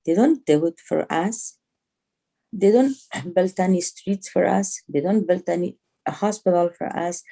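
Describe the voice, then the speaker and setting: Afghan lady on a video call, gravelly mellow voice, really emphatic, halting english, repeats herself. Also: lowish voice. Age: middle-aged. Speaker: interview guest, activist. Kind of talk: impassioned explanation in a video call interview. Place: small home room, indoors. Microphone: video call on a phone or laptop.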